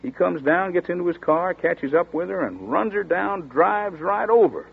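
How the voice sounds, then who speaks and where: A folksy gravelly country deputy. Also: male voice, high voice, animated, heavily acted. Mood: storytelling. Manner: folksy rural dialect, chatty and slow-spoken. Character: folksy drawling small-town male deputy, middle-aged. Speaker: rural sheriff's deputy. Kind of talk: 1940s radio acting. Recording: tinny scratchy 1940s radio broadcast.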